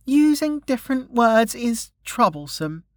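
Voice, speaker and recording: A sulky little girl, as a male narrator puts her on, pitched very high and petulant. She is blunt and stubborn, a storybook child who would rather not be bothered, and she takes her time over each word. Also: big pitch swings, measured pace, no room sound, clean studio sound, exaggerated voice. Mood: sulky, reluctant. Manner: petulant, hollers her words.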